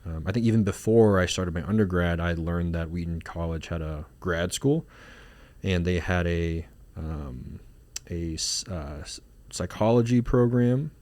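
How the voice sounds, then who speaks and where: A man's voice: low-pitched, unhurried, a little mellow, dry recording. A man from Singapore, a marriage and family therapist, talking casually in an interview with many fillers and restarts.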